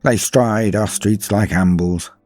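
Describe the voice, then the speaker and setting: A man playing a proud, weary planetary ruler in a sci-fi audio story, speaking formally and grandly in a measured, husky delivery. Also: lowish voice, a little mellow, faint music behind. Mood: grave concern. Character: weary proud noble planetary governor, man, grim and resolute. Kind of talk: dramatic first-person sci-fi audio story narration.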